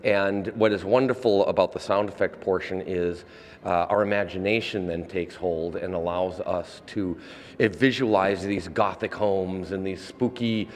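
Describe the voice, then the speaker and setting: A man's voice: sits low, steady tone, moderate pace, big-room sound. A man explaining things as a theater director in an interview, wordy and somewhat hesitant.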